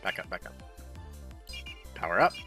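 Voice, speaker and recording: A man's voice: sits low, sing-song, moderate pace. A man hosting a game playthrough, thinking aloud as he comments on what he plays. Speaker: gaming video host. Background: game music.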